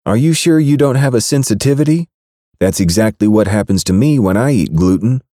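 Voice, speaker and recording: A man's voice: lowish voice, lively intonation, a little fast, mellow, no echo, clean studio sound, pitched above the performer's own voice, natural voice. A man voicing a sly, playful young woman who teases with coy answers. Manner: teasing, coy.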